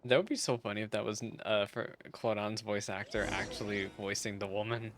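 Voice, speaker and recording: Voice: mid-range voice, fairly quick, background noise. A game streamer giving casual, giggly, amused reaction commentary while playing. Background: faint game music.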